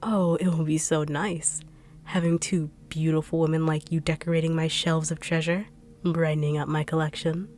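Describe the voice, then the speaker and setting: A woman voicing a smug, cruel female treasure hunter who taunts in slow, purring tones with whispered asides. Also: low-pitched, level tone, a little mellow, soft background music, lightly acted. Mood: gloating. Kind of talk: audio roleplay drama.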